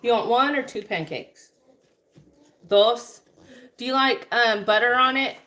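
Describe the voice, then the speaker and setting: A woman's voice: on the high side, measured pace, bright, forceful tone, slight background noise. A woman in her forties with a West Texas accent, chatting casually on a home livestream while serving breakfast and greeting viewers by name. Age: 40s. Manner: chatty, affectionate, reads out viewer names between serving food. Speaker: livestream co-host. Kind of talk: casual kitchen chat on a livestream. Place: home kitchen.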